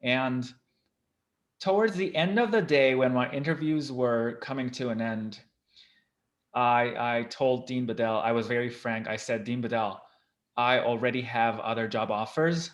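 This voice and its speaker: A man's voice: medium pitch, fairly even intonation, a little slow. A man whose first language is Armenian, a guest professor, recounting his career in a casual interview, earnest and frank with frequent ums. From Lebanon.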